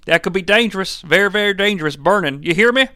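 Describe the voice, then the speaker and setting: Blustering, folksy storybook dad acted by a male reader, bossy, loud, pitched high and swooping. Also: expressive, quick pace, dry recording, studio quality. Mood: bossy warning.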